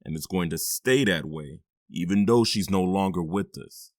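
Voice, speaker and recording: A man acting out a deep-voiced middle-aged father in an audio drama, firm and weary, given to heavy sighs. His low voice sits close and dry with no room around it. He scolds and comforts in the same steady way. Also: normal speed, no room sound, natural voice. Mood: stern. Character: stern but loving middle-aged stepfather.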